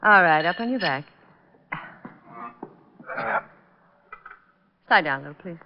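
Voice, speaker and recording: A young American masseuse on a muffled, hissy old radio recording. She is polite and clipped, hiding cold resentment, with a lively moving pitch in vintage crime drama style. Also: quick pace. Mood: guarded, polite. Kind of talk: 1940s-50s American radio drama acting.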